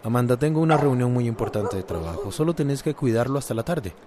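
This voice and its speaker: A man's voice: mid-range voice, expressive, fast talker, close to an everyday voice. Coaxing Costa Rican man played by an actor, noisy background. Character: coaxing, cheeky, good-humoured male friend. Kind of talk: radio drama acting. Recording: noisy, dog sounds behind.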